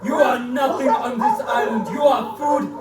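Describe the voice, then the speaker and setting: A man's voice: extremely high pitch, steady tone, over a noisy crowd. An actor playing a savage, bloodthirsty henchman, taunting his prey with theatrical menace in a live pulp drama. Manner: slow, shouted, menacing taunts. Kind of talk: live pulp radio-play acting. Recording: echoey live room, distant mic, loud noise.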